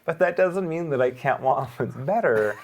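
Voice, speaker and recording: A man who is a pastor and missionary, talking earnestly in casual conversation, laughing often and mocking others in high sarcastic voices, with a lingering cough. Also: lively intonation, normal speed.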